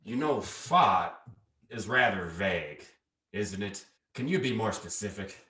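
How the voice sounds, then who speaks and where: A man's voice: fairly low pitch, expressive, measured pace, background noise. A man playing a fussy but genial Wild West sheriff in a radio play, with a folksy, critical way of talking. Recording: echoey room.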